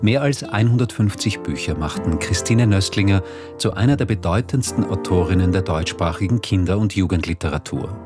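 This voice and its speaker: A man narrates a scripted radio promo in a polished, deliberate way. His voice sits low. Clear guitar music plays behind him.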